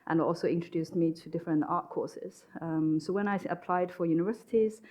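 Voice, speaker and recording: A woman from China, an interior designer speaking thoughtfully on a panel, with some halting restarts. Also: lowish voice, steady tone, a little mellow. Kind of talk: panel discussion. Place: stage at a design event.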